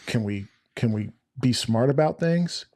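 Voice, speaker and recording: A man hosting a podcast, talking in a casual, rambling way with a flat voice he jokes sounds sarcastic. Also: medium pitch, a little slow, no room sound, clean studio sound. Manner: flat-voiced, rambling and chatty, self-described. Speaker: podcast host. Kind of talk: casual interview chat.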